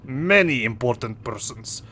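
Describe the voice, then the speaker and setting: A man doing a big proud sailor with a heavy mock Russian accent, speaking in blunt broken English and looking down on everyone. His pitch swings widely, and a steady noisy background sits under the voice. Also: unhurried. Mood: gruff haughty. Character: gruff burly Russian sailor, proud and rude.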